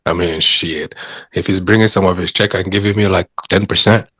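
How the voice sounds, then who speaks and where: A man's voice: sits low, fairly even intonation, fairly quick, pushed and bright, slightly rough. A man co-hosting a podcast, chatting casually with a teasing, joking manner. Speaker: podcast co-host. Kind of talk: casual chat.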